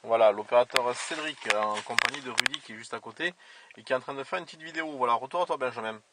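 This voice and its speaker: A man working as an amateur radio operator, chatting casually in a hesitant, halting way. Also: mid-range voice, pushed and bright, a little hiss or hum. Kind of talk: casual radio contact chat. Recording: voice distant from the camera microphone.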